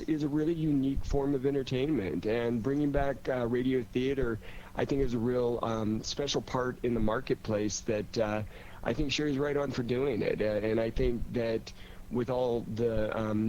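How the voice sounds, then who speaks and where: A man's voice: medium pitch, moderate pace, dull audio. A man talking casually and enthusiastically as a radio theater director on a panel. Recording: clear steady background noise.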